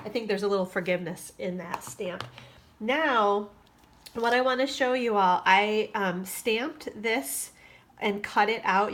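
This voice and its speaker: A woman's voice: medium pitch, fairly bright tone. A woman giving a chatty craft tutorial at her worktable, sounding stuffy and nasal from allergies. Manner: chatty, with fillers and asides. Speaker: craft demonstrator. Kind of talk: casual tutorial.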